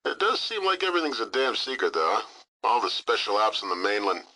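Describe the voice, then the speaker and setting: Testy, forceful military radio operator. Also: male voice, on the low side, sing-song, a little fast, full character voice, poor audio. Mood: snide, wry. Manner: snide, wisecracking, testy. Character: irritable foul-mouthed military communications operator. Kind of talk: video game voice acting.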